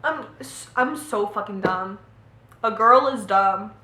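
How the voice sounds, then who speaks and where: A woman's voice: mid-range voice, slow pace, pushed and bright. An American woman of eighteen telling a casual storytime and reading out messages in a slangy, self-mocking way, in an echoey room. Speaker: vlogger.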